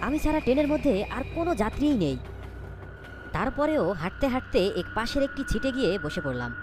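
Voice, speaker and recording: Woman voicing an anxious young storyteller, high and animated. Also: brisk, music in the background. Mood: wondering, uneasy. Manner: dramatic, wondering, fearful. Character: young, anxious storyteller caught in eerie events. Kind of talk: scripted cartoon ghost-story narration and dialogue.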